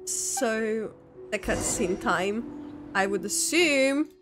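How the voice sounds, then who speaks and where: A woman's voice: fairly high pitch, expressive, extremely slow. A woman streamer giving casual, exclamatory and emotive reaction commentary while playing a game. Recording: game music behind the voice.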